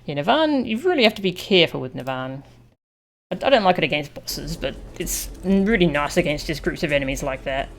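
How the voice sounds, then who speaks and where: Low-voiced woman streaming a game. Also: expressive, brisk. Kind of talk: casual playthrough commentary.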